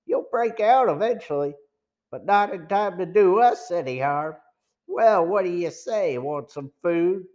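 A man's voice: high-pitched, lively intonation, unhurried, pitched above the performer's own voice. A man voicing a sour, threatening smuggler chief in an audiobook, pressing and sneering. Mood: sour, coercive. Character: sour, menacing male smuggler gang chief. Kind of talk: audiobook villain dialogue.

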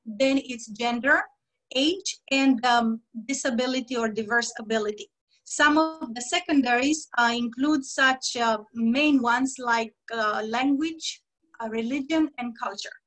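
A woman speaks in a voice that sits fairly high. She explains things in a measured, steady way and stops often for fillers and short pauses. She sounds like a podcast guest describing her work. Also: rough recording. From Romania. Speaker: guest, diversity trainer. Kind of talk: podcast interview.

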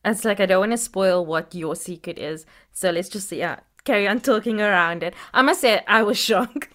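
A woman's voice: mid-range voice, normal speed, a bit bright. Woman from Africa, interviewer, chatty, says "like" a lot. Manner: chatty, with filler "like". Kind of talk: interview questions.

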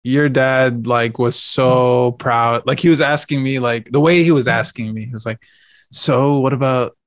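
A man co-hosting a casual podcast chat in an animated, jokey way, acting out the exchanges he recounts. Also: mid-range voice, unhurried, no echo. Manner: jokey, acts out quoted exchanges. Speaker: podcast co-host.

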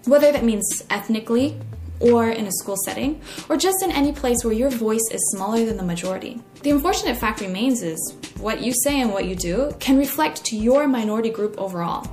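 A young woman with an American accent narrating a personal essay in an expressive way as a podcast host. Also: fairly high pitch, a little fast. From United States. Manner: expressive, acts out quoted remarks. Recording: roomy echo, faint music.

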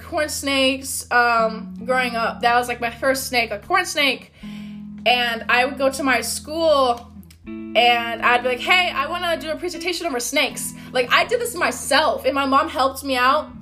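A loud, upbeat woman, hosting her own motivational podcast. Her voice sits high and bright, and she talks in an encouraging, casual way. Soft guitar music plays faintly behind her. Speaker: podcast host. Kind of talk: motivational solo podcast talk.

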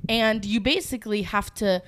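A woman's voice: mid-range voice, moderate pace, fairly bright tone, no room sound. A young adult woman with a stuffy nose, co-hosting a comedy podcast in playful, laughing chat.

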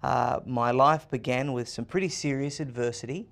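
A man's voice: mid-range voice, steady tone, normal speed, creaky, a bit bright. A confident man in his thirties with an Australian accent, a guest answering interview questions in emphatic, list-like phrases. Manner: emphatic, confident, rhetorical lists. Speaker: podcast guest.

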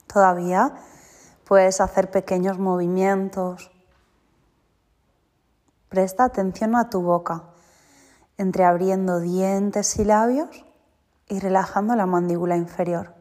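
A woman leads a guided relaxation at a very slow pace, in short, spaced instructions. Her delivery is soothing and deliberate, at a medium pitch. Long reverb trails each phrase. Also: fairly even intonation, darkish tone. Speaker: yoga teacher guiding a relaxation. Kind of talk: guided yoga nidra meditation. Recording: long reverberant hall-like echo.

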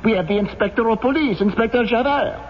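An actor playing a sneering public prosecutor in a radio drama, mocking and theatrical, drawing out his words. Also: very high-pitched. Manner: mocking, emphatic, drawn-out. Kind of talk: old-time radio drama acting. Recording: dull old radio recording, faint hiss.

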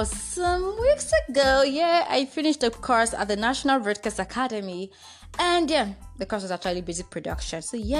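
A Nigerian woman hosting a podcast in a chatty, bubbly, playful and expressive way. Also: sits high. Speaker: podcast host and drama producer. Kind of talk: casual chatty podcast talk.

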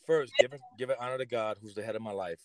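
A man's voice: mid-range voice, fast talker, no echo, studio quality. A middle-aged American man talking casually and informally as an interview guest, in a heartfelt way. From Pontiac, Michigan, United States. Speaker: podcast guest, performing artist. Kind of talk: casual conversational interview talk.

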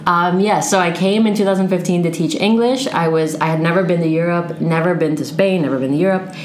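Low-voiced young woman in an echoey room. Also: brisk. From Pennsylvania, United States. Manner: chatty, storytelling, easy laughs. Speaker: guest. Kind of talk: casual interview. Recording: echoey room, faint background noise.

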